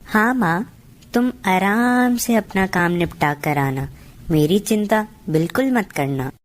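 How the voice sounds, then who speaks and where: A woman's voice: medium pitch, slow, lightly acted. A woman voicing a sad, polite, earnest young boy in a Hindi cartoon story. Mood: reassuring.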